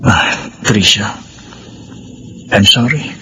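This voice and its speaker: An actor playing a guilty young boyfriend, hesitant and apologetic. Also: male voice, fairly low pitch, drawn-out words, pushed and bright, heavily acted. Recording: radio drama with faint noise.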